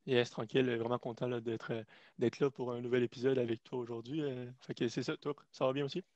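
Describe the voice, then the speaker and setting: A man's voice: mid-range voice, level tone, quick pace, a little mellow, no echo. A man with a Québécois accent co-hosting a sports podcast, laid-back and colloquial. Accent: Québécois French. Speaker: podcast co-host. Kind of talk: casual podcast chat. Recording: slightly dull lo-fi sound.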